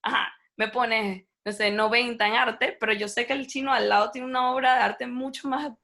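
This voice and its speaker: A young woman, a native Spanish speaker, talking as an interview guest in a lively, casual way and acting out the people in her story. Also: a little high, moderate pace, gravelly.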